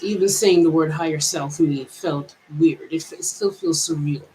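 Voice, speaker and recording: A woman's voice: low-pitched, moderate pace, mellow, slight background noise, low-quality recording. A woman from Jamaica with a mixed Jamaican and American accent, giving a reflective personal vlog monologue in a hesitant, searching way with many restarts. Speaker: vlogger.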